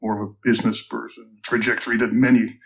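A man in his sixties from the Netherlands with a Dutch accent, a podcast guest recounting his career in a measured, hesitant way with many ums and restarts. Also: lowish voice, little pitch movement, creaky, lo-fi sound. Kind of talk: reflective career storytelling.

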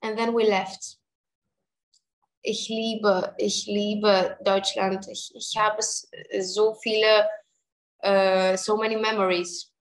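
A young woman speaks slowly and carefully. She halts, restarts and searches for her words as she answers an interviewer's questions about her singing. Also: mid-range voice, level tone, poor audio. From Germany and Greece. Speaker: singer, interview guest.

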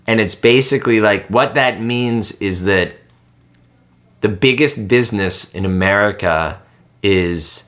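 A man's voice: lowish voice, measured pace, fairly bright tone. A man in his late thirties in casual conversation, ranting and swearing freely, with lots of filler "like". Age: 30s. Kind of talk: casual chat.

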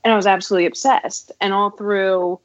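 A woman's voice: medium pitch, steady tone, a little fast, dry recording. A woman hosting an interview, chatting with enthusiasm. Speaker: podcast host. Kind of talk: casual interview chat. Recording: dry, muffled lo-fi audio.

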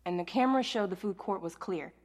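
A mid-pitched female voice, an amateur actor's, as the practical leader of a group of zombie survivors. She gives directions in a matter-of-fact, level-headed way, in audio drama style. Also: quick pace, darkish tone, slight background noise, natural voice. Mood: taking charge.

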